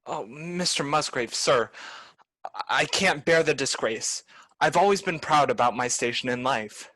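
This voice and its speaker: A woman's voice: a bass voice, expressive, measured pace, a bit bright, dry recording, heavily acted. A woman playing a proud, deferential male butler in a 1930s-style radio play, pleading melodramatically.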